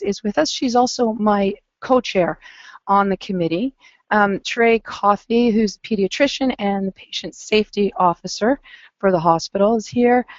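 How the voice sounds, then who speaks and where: A hoarse, croaky woman presenting as a family advisor, earnest and measured with some fillers. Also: medium pitch, darkish tone, dry recording. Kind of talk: webinar presentation. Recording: dull lo-fi webinar audio.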